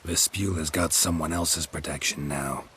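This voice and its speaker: An actor voicing a stoic, wry monster hunter in a video game, speaking tersely with deadpan delivery. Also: male voice, very deep voice, normal speed, slight background noise. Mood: calm menace. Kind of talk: video game dialogue acting.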